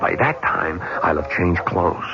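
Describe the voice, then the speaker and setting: Deep-voiced, hard-edged veteran plotting revenge. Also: male voice, expressive, a little slow, crowd noise. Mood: confident, calculating. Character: cold, persuasive male war veteran plotting a revenge killing. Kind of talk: old-time radio drama acting. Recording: muffled vintage radio recording, music behind.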